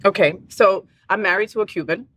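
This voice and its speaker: A woman's voice: medium pitch, a little fast, a bit bright, a little hiss or hum. A middle-aged woman hosting a casual interview, bubbly and teasing, laughing often. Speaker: host.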